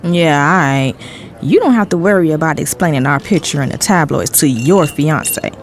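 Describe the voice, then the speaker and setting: A woman's voice: low-pitched, lively intonation, normal speed. A woman playing a guarded socialite in an audio drama, coy and challenging in casual dialogue. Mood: guarded, teasing. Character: guarded, coy socialite testing her secret admirer.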